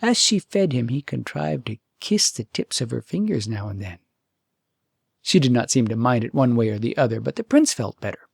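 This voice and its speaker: A man's voice: mid-range voice, big pitch swings, moderate pace, gravelly, a little mellow, husky, no room sound, studio quality. A man reading a fairytale aloud as an audiobook storyteller, in scripted narration.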